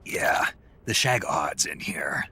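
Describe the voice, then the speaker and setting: An actor playing a gruff, guarded soldier on a covert mission in a video game, terse and serious. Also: male voice, extremely low pitch, animated, unhurried, bright, forceful tone, whispered, exaggerated voice. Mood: businesslike. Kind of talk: dramatic video game cutscene acting. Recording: music behind the voice.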